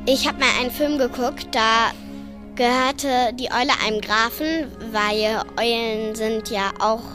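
A young female voice, fairly high, talks casually and simply. Faint music sits behind it. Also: fairly even intonation, unhurried. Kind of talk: casual recounting.

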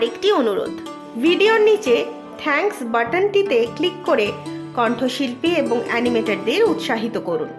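Woman narrator for a cartoon channel outro; polite, measured, high voice. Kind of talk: scripted outro announcement.

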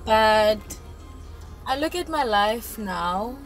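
A woman's voice: fairly high pitch, lively intonation, extremely slow, soft background music. A woman in her late twenties from South Africa, vlogging from the driver's seat about gratitude in a reflective, chatty way. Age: 20s. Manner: reflective, grateful, chatty with frequent "you know". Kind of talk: casual vlog talk to camera. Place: inside a car while driving. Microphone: phone camera mounted on the dashboard. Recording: road and engine noise in car.

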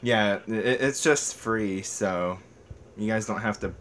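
A young man talking casually and a bit haltingly on a homemade prank-call video shot indoors on a handheld phone. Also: on the low side, fairly bright tone, a little hiss or hum. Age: young adult. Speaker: prank caller and video maker. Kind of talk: casual phone prank talk with muttered asides. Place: small bedroom, indoors. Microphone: phone at arm's length.

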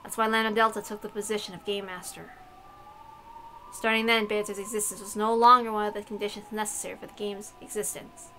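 Bright-voiced woman reading a game, noisy recording. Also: mid-range voice, level tone, brisk. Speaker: streamer reading a visual novel aloud. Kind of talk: casual commentary on a game read-aloud.

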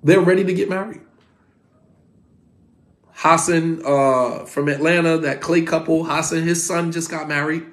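A man in his forties teaching an online class from home, talking in a lively, conversational way and repeating points for emphasis. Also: on the high side, a little slow, long pauses. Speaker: Islamic teacher. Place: home room, indoors. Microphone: phone camera at desk.

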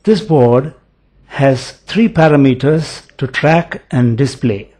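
Slow, measured man narrating a demo. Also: medium pitch, darkish tone, husky. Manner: slow, measured step-by-step explaining. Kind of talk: voice-over product demonstration.

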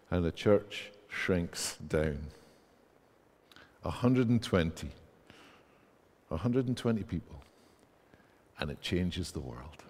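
A man's voice: on the low side, a little mellow. A man in his thirties with a Scottish accent, preaching a sermon in a large church and reading scripture aloud with rising emphasis. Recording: church hall reverb.

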